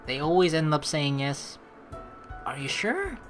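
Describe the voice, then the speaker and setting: A young man with a bright, forceful voice and lively, swinging intonation plays through a game. He reads the narration aloud and drops in playful, casual asides, with music behind him. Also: on the high side, a little slow. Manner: expressive, informal, playful asides. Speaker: let's play host.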